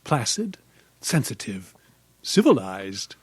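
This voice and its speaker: A man's voice: medium pitch, big pitch swings, takes it slowly, husky, no room sound, heavily acted. An actor playing a grandiose, cultured sculptor and protective father in an old-time radio drama, theatrical and pompous. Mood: pompous, indignant.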